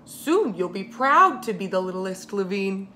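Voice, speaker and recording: A patient old grandfather from a children's storybook, read by a woman. He speaks gently and reassuringly, and the recording has noticeable background noise. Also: mid-range voice, big pitch swings, measured pace.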